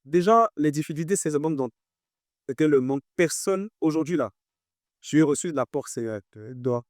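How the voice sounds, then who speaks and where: A man's voice: fairly high pitch, lively intonation, normal speed, no echo, studio quality. A man in his twenties with a French accent, a guest artist talking casually in an interview and repeating phrases for emphasis.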